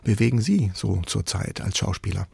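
A man's voice: on the low side, lively intonation, normal speed, gravelly, a little mellow, breathy edge, dry recording. A man hosting a radio culture talk, putting questions to a guest in a conversational way.

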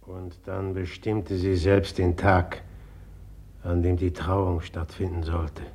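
An actor playing a brooding, bitter, obsessively jealous suitor in a German radio play, speaking haltingly with a rough edge. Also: male voice, low voice, little pitch movement, a little mellow. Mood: brooding, haunted. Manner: halting and brooding, breaking into taunting outbursts.

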